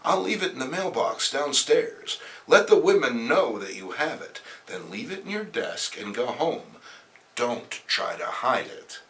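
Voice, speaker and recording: A man's voice: mid-range voice, sing-song, unhurried, fairly bright tone, distant mic. A man voicing an earnest, urgent young male superhero in a comic book reading, with a melodramatic manner. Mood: hushed instructing.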